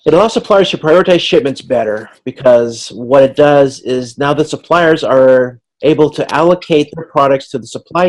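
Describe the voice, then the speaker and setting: Male instructor, mid-pitched, informal online lecture read, halting and conversational, repeats words while explaining. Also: a little mellow, rough recording. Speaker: university instructor.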